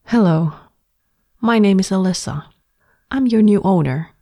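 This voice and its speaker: A woman's voice: medium pitch, expressive, measured pace, warm tone, voice pushed up. A woman voicing a cheerful, bossy young woman who talks sweetly and patronizingly to her new pet. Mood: bright, condescending.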